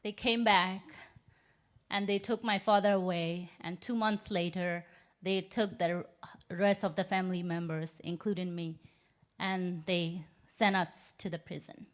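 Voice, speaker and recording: A woman in her thirties from Myanmar with a Burmese accent, whose first language is Rohingya, giving a measured, earnest personal testimony as an invited speaker. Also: lowish voice, steady tone. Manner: measured, earnest storytelling with pauses.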